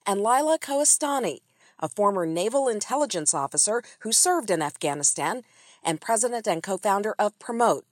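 A woman's voice: mid-range voice, no room sound, studio quality. A woman hosting an interview podcast, moving between scripted introduction and questions to guests. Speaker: podcast host.

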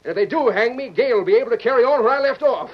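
An actor playing a stubborn, blustering old American stage line owner in an old-time radio Western. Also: male voice, extremely high pitch, lively intonation, very fast. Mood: enraged. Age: older. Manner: blustering, combative, folksy Western speech. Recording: dull lo-fi vintage radio recording.

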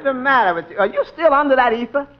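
An actor playing a sarcastic tavern waiter in a 1940s radio comedy, firing off deadpan comebacks. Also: male voice, very high-pitched, fast. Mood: wisecracking. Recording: muffled old radio broadcast with loud hiss.

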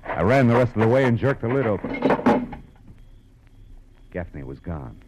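An actor playing a cool, commanding private detective in 1940s radio noir, clipped, tough and world-weary. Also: male voice, fairly low pitch, sing-song, unhurried, heavy background noise. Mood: tense, brooding. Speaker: lead actor and narrator. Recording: muffled old radio recording with music.

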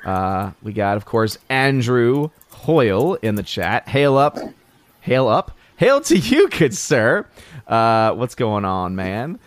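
A man's voice: mid-range voice, big pitch swings, slow, dry recording. A man hosting a casual livestream, greeting viewers in the chat by name with playful hails. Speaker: livestream host.

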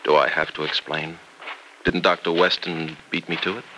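Man playing stubborn gallant young doctor in love, deep voice, American accent, emphatic, vintage radio serial, noisy broadcast. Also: flat delivery, normal speed, close to an everyday voice. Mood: resolute, pained. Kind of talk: old-time radio soap opera acting.

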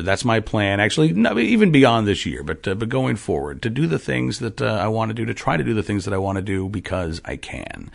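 A man's voice: on the low side, vocal fry. A man in his forties hosting his own podcast, talking casually with lots of fillers and restarts. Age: 40s. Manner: rambling, frequent fillers and restarts. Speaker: podcast host. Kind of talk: casual monologue. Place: farm.

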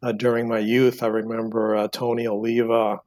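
A man in his sixties from Cuba, a book author answering interview questions in a halting way with many fillers and restarts. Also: mid-range voice, little pitch movement, a little mellow. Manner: hesitant, full of fillers and restarts. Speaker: guest author. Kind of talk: podcast interview.

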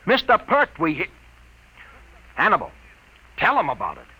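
A man's voice: high voice, wildly swooping pitch, normal speed, bright, forceful tone, slightly rough, full character voice, low-quality recording. A man playing an eager, pleading newspaper reporter, slangy and urgent, in an old-time radio comedy drama. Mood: pleading, insistent.

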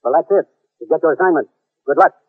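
A fast-talking male commissioner with a slightly high, husky voice. He is formal and authoritative, in a muffled vintage radio drama recording. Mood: urgent briefing. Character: businesslike male government commissioner briefing his agent. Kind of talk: 1940s-50s radio drama acting.

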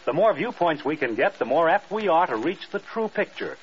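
A male American announcer from old-time radio with a fairly high, animated voice. He reads scripted copy with polished emphasis. The broadcast is dull and a little noisy. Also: fairly quick. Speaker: radio network promo announcer.

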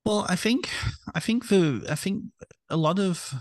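A man's voice: high voice, lively intonation, a little slow, dry recording. A man with a mixed Canadian and British accent, from England, talking as a podcast guest in a reflective, hesitant way with many restarts. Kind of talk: reflective interview conversation.

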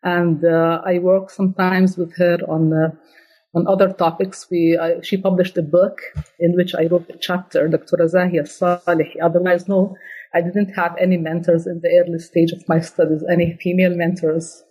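A woman's voice: fairly low pitch, little pitch movement, moderate pace, dark tone, husky, poor audio. A middle-aged woman being interviewed about her book, answering carefully with frequent restarts. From born in Slovenia, raised in Jordan. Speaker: guest author, ethics scholar.

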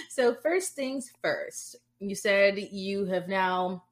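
A woman's voice: medium pitch, animated, very slow. A woman hosting a podcast in a casual, chatty interview style, with a playful, slangy way of talking. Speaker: podcast host.

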